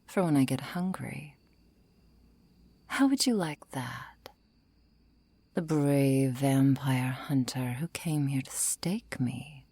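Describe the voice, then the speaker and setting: Lady doing a hungry vampire woman for asmr, super low and creaky, calm, seductive, softly threatening, whispers a lot. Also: dry recording, heavily acted. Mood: sultry, predatory. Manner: slow, coaxing, softly threatening, often whispering. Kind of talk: intimate ASMR roleplay.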